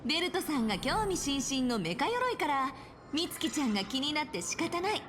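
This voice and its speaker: A woman's voice: high-pitched, big pitch swings, very fast, noisy background. A woman voicing a perky, playful young office guide in a Japanese video game, with a bubbly, teasing manner. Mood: upbeat. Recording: game music underneath. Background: game music.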